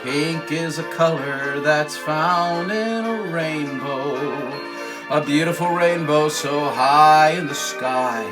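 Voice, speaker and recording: A man's voice: on the high side, slow pace, low-quality recording. A man hosting a children's puppet show and sing-along, exclamatory and encouraging, repeating his phrases. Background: music.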